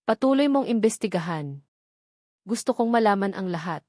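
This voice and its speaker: A woman's voice: medium pitch, lively intonation, normal speed, a little mellow, no room sound, studio quality, lightly acted. A woman voicing an intense, brooding male billionaire with a terse, earnest manner in a narrated romance. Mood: commanding. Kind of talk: narrated story dialogue.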